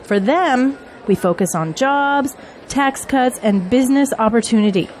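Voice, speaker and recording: Busy political strategist and mom played by a woman, middle-aged, high-pitched, earnest, radio-drama delivery, slight noise behind. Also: lively intonation, a little slow, a little mellow. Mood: upbeat, confident. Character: busy political campaign manager and single mother. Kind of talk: audio drama acting.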